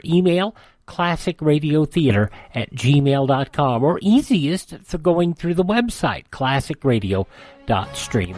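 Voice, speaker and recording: A man's voice: mid-range voice, a little mellow. An American man hosting a radio show in a conversational style.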